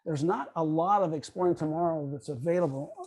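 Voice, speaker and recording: An older man with a fairly high but soft, mellow voice, speaking as a guest in an online meeting. He talks informally and haltingly, wandering through his thoughts and regrouping as he goes. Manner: halting, rambling, loses his train of thought. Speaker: guest speaker, radio history author. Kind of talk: informal question-and-answer talk. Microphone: video call microphone.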